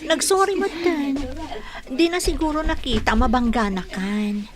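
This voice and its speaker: A woman's voice: high-pitched, lively intonation, measured pace. A woman acting a patient young woman in love in a radio drama, with an Ilocano accent. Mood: gentle, placating. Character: young woman in love, patient, hurt by her suspected cheating boyfriend. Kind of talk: Filipino radio drama acting, narration and dialogue.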